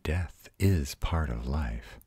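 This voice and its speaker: A man performs a proud male vampire comforting his lover in an audio roleplay. His voice is extremely low and husky, delivered very slowly, with tender, intimate reassurance. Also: lively intonation, warm tone, dry recording, heavily acted. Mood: gentle, solemn. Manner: slow, soothing, intimate.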